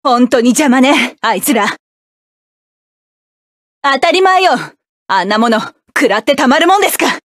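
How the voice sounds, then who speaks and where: Kalvina, a proud mecha pilot. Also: female voice, sits high, lively intonation, moderate pace, pauses often, no room sound, studio quality. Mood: cool. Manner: clipped, commanding and scornful battle barks. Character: proud cool-headed female mecha pilot, sharp-tongued. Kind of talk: video game battle voice lines.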